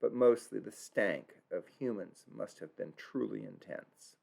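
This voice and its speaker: A man's voice: on the low side, a little slow, creaky, darkish tone. A man narrating his travel video in an earnest, reflective way, stumbling now and then over German place names. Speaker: travel vlogger narrating a tour.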